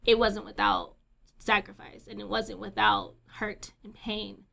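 A woman's voice: mid-range voice, level tone, unhurried, vocal fry, a bit bright. A young woman co-hosting a podcast, talking candidly with lots of fillers, swearing and laughter. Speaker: podcast co-host. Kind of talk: casual chat.

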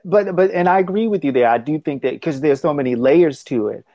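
A man's voice: a little high, lively intonation, brisk, dark tone, dry recording, muffled recording. A man talking thoughtfully in a casual conversation over a call, with many restarts and affirmations. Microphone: phone call.

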